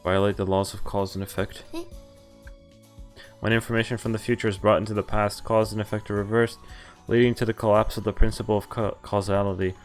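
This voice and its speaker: A man's voice: lowish voice, little pitch movement, a little fast. A man streaming a visual novel, reading its narration and dialogue aloud in a casual, lightly acted way, with offhand reactions. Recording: music playing behind the voice. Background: game music.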